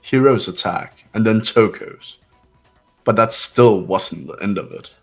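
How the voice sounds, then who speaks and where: A man's voice: lowish voice, expressive, unhurried, breathy edge, soft background music, heavily acted. Man doing a haughty cold young heir. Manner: cold, condescending. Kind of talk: fan voice acting of a game.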